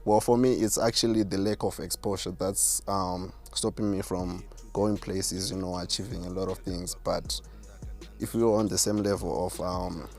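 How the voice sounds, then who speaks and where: A man with a deep voice, a self-assured artist answering interview questions. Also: fairly even intonation, normal speed, darkish tone, soft background music. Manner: self-assured, boastful about his skill. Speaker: rapper and artist, guest.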